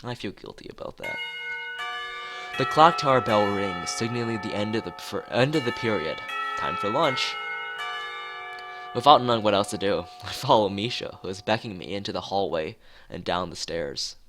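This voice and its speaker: A man's voice: fairly low pitch, lively intonation, normal speed. A man streaming a visual novel, reading it aloud and rambling hesitantly in casual asides. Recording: music playing behind the voice.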